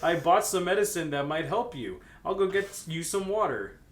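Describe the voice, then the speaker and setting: A man's voice: a little high, animated, normal speed, pitched above the performer's own voice, close to an everyday voice. Man playing anxious young guy, raised voice. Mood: concerned. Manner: anxious, emphatic. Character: worried, caring young male friend, urgent and fussing. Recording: roomy echo, noisy background.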